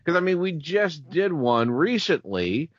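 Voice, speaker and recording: A man's voice: fairly high pitch, sing-song, a little slow, no room sound. A man chatting casually as a podcast co-host. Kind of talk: casual chat. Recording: dull, lo-fi podcast audio.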